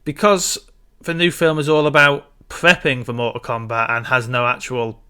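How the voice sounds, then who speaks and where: A man's voice: medium pitch, level tone, moderate pace, bright, forceful tone, clean tone. A man co-hosting a casual discussion about films. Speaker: podcast co-host.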